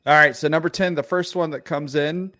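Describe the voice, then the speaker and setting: A man's voice: on the high side, fairly even intonation, fairly quick, bright, forceful tone, dry recording. A man whose first language is Arabic, co-hosting a casual livestream, heated and profane, often repeating words as he rants. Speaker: podcast co-host.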